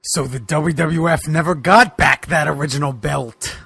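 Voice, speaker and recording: A man voicing an exasperated, despairing boogeyman monster wrestler, gravelly and melodramatic, in a comic fan-fiction reading. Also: fairly high pitch, moderate pace, bright, forceful tone, no echo, exaggerated voice. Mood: dejected. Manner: melodramatic, pleading.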